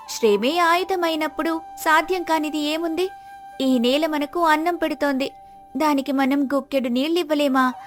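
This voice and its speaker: A woman voicing a gentle, devoted, hardworking village wife in an earnest, respectful manner for a moral story. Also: high-pitched, natural voice.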